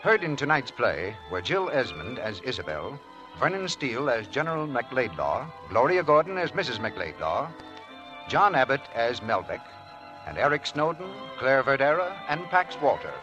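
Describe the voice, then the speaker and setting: A man announces in scripted 1940s radio style. His voice moves through a lively range of pitch, with orchestral music playing behind him. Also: normal speed, lo-fi sound.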